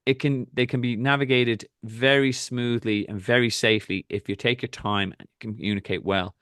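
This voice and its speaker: A man's voice: mid-range voice, steady tone, fairly bright tone, smooth, no echo, clean studio sound. A man with an Irish accent, a triathlon coach hosting a casual talk and giving direct, practical advice. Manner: direct, instructive, rapid asides.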